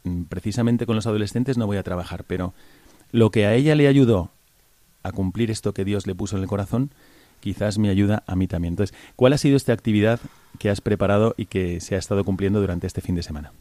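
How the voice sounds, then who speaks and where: A man, a priest, hosting an interview in a calm, measured, explanatory way. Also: on the low side, no echo. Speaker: priest and program host. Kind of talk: radio-style interview hosting.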